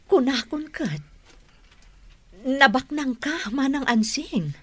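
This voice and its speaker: A woman's voice: high voice, expressive, slow, a bit bright, heavily acted. A woman with a northern Philippine accent playing a nosy, suspicious, blunt household woman in an Ilocano radio drama. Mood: surprised.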